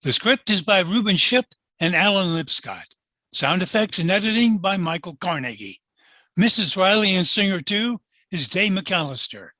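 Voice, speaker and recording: Bright, high-pitched male radio announcer. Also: expressive, normal speed, dry recording. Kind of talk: 1940s network radio sign-off, scripted.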